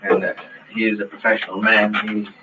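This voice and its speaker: A man's voice: lowish voice, completely flat delivery, brisk. A male police officer in candid conversation, speaking hesitantly and deferentially. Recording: distant, noisy, dull old radio recording.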